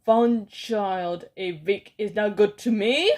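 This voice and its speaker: A woman's voice: fairly low pitch, a little slow, a little mellow, close to an everyday voice. A woman reading a storybook aloud and voicing a furious, domineering female witch leader who shrieks orders in stilted, oddly worded speech. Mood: ranting.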